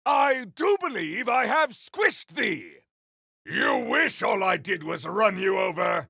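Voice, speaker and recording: A male voice actor playing a grandiose, battle-hungry video game warrior, declaiming in a bombastic, archaic style with a rough, gravelly voice. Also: very high-pitched, pushed and bright, dry recording, studio quality, heavily acted. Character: grandiose battle-hungry warrior wielding fire, frost and storm. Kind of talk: video game combat barks.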